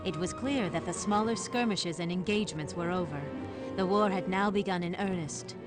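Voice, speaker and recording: A woman's voice: on the low side, normal speed, over music. A woman narrating a fantasy game story in a solemn, dramatic, scripted storytelling style.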